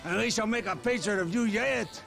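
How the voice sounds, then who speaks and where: An actor playing a lecherous, bombastic Mexican army colonel in a video game, drawling theatrically with a Mexican accent. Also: male voice, high voice, fairly quick, gravelly, fairly bright tone, soft background music. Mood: pompous, amused. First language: Spanish. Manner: drawling, self-indulgent, theatrical.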